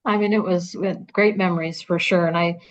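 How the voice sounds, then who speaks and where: A woman's voice: lowish voice, steady tone, a little fast. A woman in her fifties, a podcast guest, casually telling old school stories with frequent laughter. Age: 50s. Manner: chatty, laughs often, storytelling. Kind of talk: casual reminiscing chat. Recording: dull lo-fi sound.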